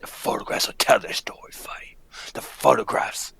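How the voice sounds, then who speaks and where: A man playing an anguished, accusing circus clown in a radio play, husky and breathy, with halting, intense delivery. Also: a bit bright, in a whisper, close to an everyday voice. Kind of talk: radio play table read.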